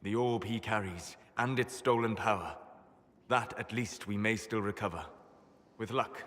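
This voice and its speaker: A soft-spoken scholarly elf mage. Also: male voice, lowish voice, unhurried. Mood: melancholy. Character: wise aloof male elf apostate mage. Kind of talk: video game cutscene dialogue. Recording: game audio with long reverb, faint ambience.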